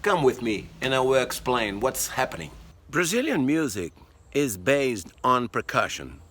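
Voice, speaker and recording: Slow-spoken man teaching percussion. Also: medium pitch, big pitch swings, fairly bright tone, breathy edge. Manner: slow, explanatory, counting beats aloud. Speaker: music teacher. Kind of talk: demonstrating percussion outdoors. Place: outdoors by a tree.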